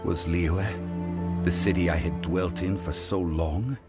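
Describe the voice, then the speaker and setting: A man voicing an ancient, dignified male god in a video game, speaking in a measured, formal and unhurried way. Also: low voice, fairly even intonation. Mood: composed. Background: music.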